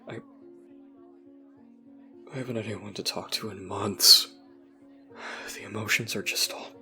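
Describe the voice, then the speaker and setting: A man playing a troubled, self-blaming teenager in an audio roleplay, speaking in a halting, confessional way. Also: on the low side, bright, forceful tone, heavily acted. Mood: apologetic, shaken.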